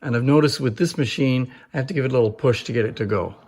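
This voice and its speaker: A man's voice: mid-range voice, fast talker, a little mellow. A man giving a casual, explanatory demonstration as a presenter showing off antique machines. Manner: informal, explanatory. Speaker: presenter showcasing antique phonographs. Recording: echoey room.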